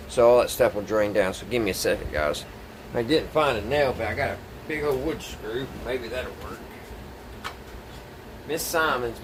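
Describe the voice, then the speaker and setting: A plainspoken, folksy man thinking aloud as he casually narrates hands-on work to viewers. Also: medium pitch. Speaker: DIY vlogger. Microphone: phone camera set away from speaker. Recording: distant mic, noisy with steady background hum.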